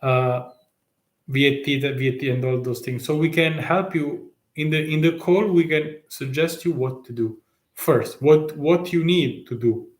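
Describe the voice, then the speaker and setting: A man with an Italian accent, a tax consultant talking live to viewers in halting, restart-filled English with rhetorical questions. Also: a little high, roomy sound. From Italy. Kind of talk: live stream talk.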